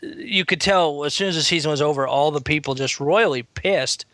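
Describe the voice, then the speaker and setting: A man in his late thirties co-hosting a casual sports podcast, talking in a measured, reflective way as a longtime fan. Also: a little high, a bit bright, dry recording. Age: 30s. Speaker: podcast co-host.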